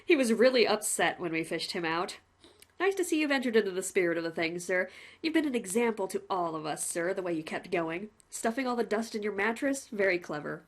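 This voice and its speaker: Woman playing a chatty male jailer, overly polite, rambling, bouncy pitch. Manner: chatty, overly polite, says sir constantly. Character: chatty cheerful male prison warden.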